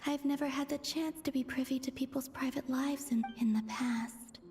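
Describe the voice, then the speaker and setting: Game moon goddess: highish, soft, formal, quietly wondering, over music. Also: female voice, fairly even intonation, moderate pace. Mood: curious, musing. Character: solemn female moon goddess quietly watching mortals with wonder. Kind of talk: video game voice acting. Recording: music behind the voice.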